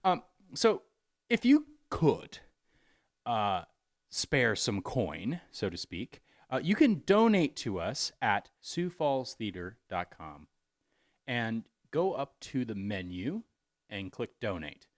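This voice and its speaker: Animated man making a folksy appeal. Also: mid-range voice. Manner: halting, jokey, folksy. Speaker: community theater host. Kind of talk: informal donation appeal.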